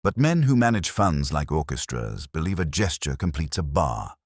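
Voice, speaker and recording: A man voicing a gentle, unhurried, dryly ironic police inspector in a mystery story. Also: extremely low pitch, big pitch swings, no echo, studio quality, exaggerated voice. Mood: dry, reflective. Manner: gentle, measured, merely courteous.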